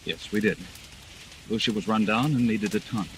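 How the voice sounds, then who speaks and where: An actor playing a bewildered, defensive husband under suspicion, halting and indignant, in an old-time radio mystery. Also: male voice, fairly low pitch, background noise, lightly acted. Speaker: suspect in a mystery drama.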